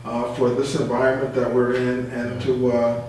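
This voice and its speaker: Man in a very echoey room, speaking haltingly. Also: mid-range voice, monotone, darkish tone. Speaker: community board member and guest. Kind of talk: earnest discussion on a radio talk show. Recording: very echoey room, distant mic, faint hum.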